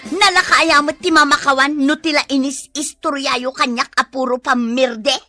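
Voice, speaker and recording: A woman's voice: high-pitched, fairly even intonation, normal speed, sharp tone, faint music behind. A woman playing an indignant, gossipy village woman with an Ilocano accent, wailing and scolding in a comedy radio drama. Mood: angry.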